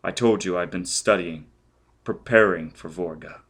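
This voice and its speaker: A man's voice: low-pitched, moderate pace, fairly bright tone, slightly rough, exaggerated voice. A man voicing a ruthless, vengeful man who barks demands and threats. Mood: cold. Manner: menacing, barking demands. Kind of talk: audiobook character voice.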